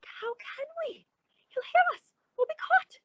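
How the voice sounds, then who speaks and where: A woman's voice: extremely high pitch, animated, a little slow, fairly bright tone, highs cut off, a little hiss or hum, heavily acted. A woman acting a naive, nervous, placating young-sounding character in an old-time radio suspense play, with exclamatory delivery. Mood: frightened.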